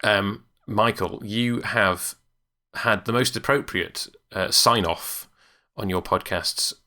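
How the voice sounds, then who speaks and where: A man's voice: fairly low pitch, steady tone, takes it slowly, sharp tone. A man co-hosting a podcast in casual, hesitant chat. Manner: hesitant, lots of ums and uhs. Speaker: podcast co-host.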